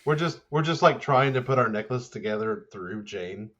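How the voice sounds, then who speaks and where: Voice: medium pitch, lively intonation, normal speed, a bit bright, dull audio. A player improvising casually in a tabletop roleplaying game, teasing and joking along.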